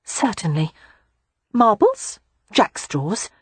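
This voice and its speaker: A woman's voice: medium pitch, sing-song, slow, breathy edge, no room sound, voice pushed up, full character voice. A woman voicing a spirited, independent young woman in an audiobook, wry and frank. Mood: playful. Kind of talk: audiobook character voice.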